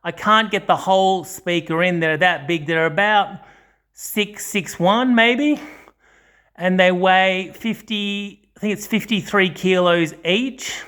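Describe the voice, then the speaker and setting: Aussie guy with a pretty high voice rambling thru a home video walkthrough, kinda hesitant. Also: slow, pushed and bright, clear, resonant tone. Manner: hesitant, rambling, self-correcting. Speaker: audio channel host. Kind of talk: casual unscripted video walkthrough. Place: indoors, small home study.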